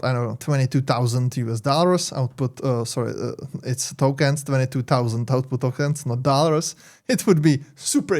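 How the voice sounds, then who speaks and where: Man, Czech accent, native Czech speaker, tech channel host, loose rambling commentary, medium pitch. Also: darkish tone. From Czech Republic. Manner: rambling with many fillers and self-corrections. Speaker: tech YouTuber. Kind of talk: casual commentary over screen.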